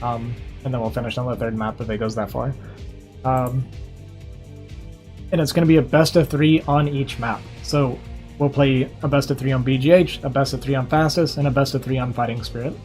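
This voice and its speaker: A man's voice: mid-range voice, steady tone, fairly quick, darkish tone, faint music behind. A young man streaming from his computer, chatting casually and rambling with lots of fillers, his voice worn out from talking. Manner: rambling, filler-heavy, upbeat. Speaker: streamer and caster. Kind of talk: casual livestream chat.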